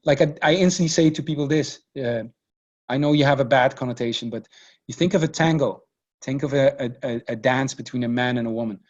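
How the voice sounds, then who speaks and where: A man whose first language is Dutch, speaking English as a podcast guest in a relaxed, searching way, often restarting his phrases. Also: mid-range voice, brisk, muffled recording. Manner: hesitant, many restarts and repeated words. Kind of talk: casual conversational interview.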